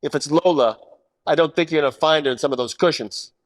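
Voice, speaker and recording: A man playing a wisecracking, world-weary 1940s private detective, narrating a radio noir parody in deadpan hard-boiled style. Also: fairly high pitch, fast talker, in a large hall, natural voice. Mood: wry, deadpan.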